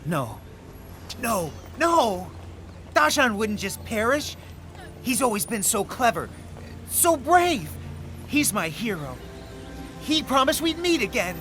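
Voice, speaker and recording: A man's voice: very high voice, very animated, slow, pauses often, a bit bright, exaggerated voice. An actor playing an earnest, loyal young American man who grew up in China and is searching for a lost friend. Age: young adult. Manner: earnest and emotional, rising to pleading. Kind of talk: audio drama acting. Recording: music playing underneath.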